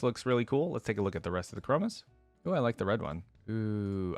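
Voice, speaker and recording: A man's voice: medium pitch, no echo. A man hosting a casual reaction video, musing aloud in a conversational, informal way. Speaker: YouTube host. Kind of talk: casual reaction commentary, thinking aloud and reading trivia.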